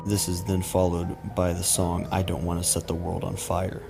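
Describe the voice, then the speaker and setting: Very flat, low-voiced man narrating. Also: normal speed, gravelly. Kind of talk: scripted creepypasta narration. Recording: music behind the voice. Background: background music.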